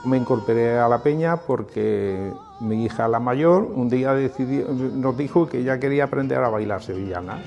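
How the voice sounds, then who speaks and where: A man in his sixties, a flamenco club member, calmly recounting in a documentary interview inside a club hall. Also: medium pitch, normal speed, vocal fry, few pauses, lo-fi sound, music in the background.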